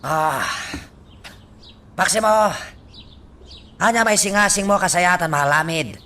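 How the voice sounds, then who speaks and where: A man's voice: high voice, lively intonation, unhurried, a little hiss or hum, full character voice. A man with an Ilocano accent playing a domineering, short-tempered, taunting crime boss in a radio drama. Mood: menacing. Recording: loud background music.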